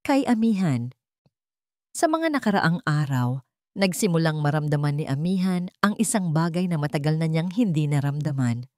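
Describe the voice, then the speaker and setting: A woman's voice: deep voice, animated, normal speed, mellow, no echo, studio quality. A woman narrator reading a dramatic scripted love story. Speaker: narrator of Tagalog love stories.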